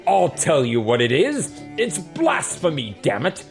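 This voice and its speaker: A wrathful, self-important male god of rocks as acted out by an audiobook narrator rants and bellows. The voice jumps well up in pitch and swings widely. Music plays clearly behind it. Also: wildly swooping pitch, a little slow. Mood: furious, indignant. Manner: bellowing, ranting, pompous. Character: furious, haughty male god of rocks, bellowing blasphemy outrage. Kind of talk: dramatic fantasy audiobook character.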